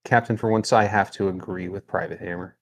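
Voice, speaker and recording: A man's voice: deep voice, fairly even intonation, a little fast, vocal fry, a little hiss or hum. A man playing a tabletop roleplaying game, moving between in-character lines and casual table talk.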